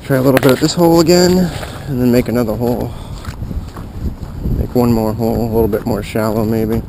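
A man's voice: medium pitch, brisk. Man vlogging outdoors in heavy wind noise. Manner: informal, slangy, excitable at catches. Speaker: fishing vlogger. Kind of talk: casual outdoor fishing commentary, talking to himself and camera. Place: outdoors on a frozen pond. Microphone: action camera worn on body. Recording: windy, noisy action-camera audio.

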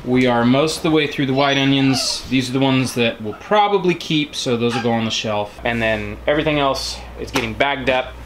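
A man's voice: mid-range voice, brisk, few pauses, background noise. A man in his thirties chatting casually to viewers while cooking in his home kitchen, joking and explaining as he goes. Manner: easygoing, jokey, explains to viewers. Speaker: homestead vlog co-host.

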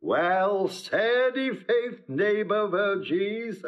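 An actor playing a bumbling, self-important old constable who mangles words, in a pompous, rambling Shakespearean comedy style. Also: male voice, high voice, lively intonation, very slow, dull audio, full character voice. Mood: rambling, pious. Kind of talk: classical Shakespeare stage comedy acting.

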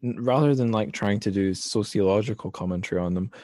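A man's voice: lowish voice, fast talker, a little mellow, dry recording. A man hosting a podcast, casually discussing a book in a reflective, analytical way. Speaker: podcast host.

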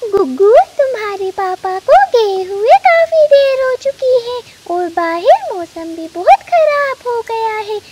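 A woman's voice: extremely high pitch, big pitch swings, slow, hardly any pauses, dark tone, exaggerated voice. A woman voicing a worried, caring mother bird in a cartoon, with an anxious, polite manner.

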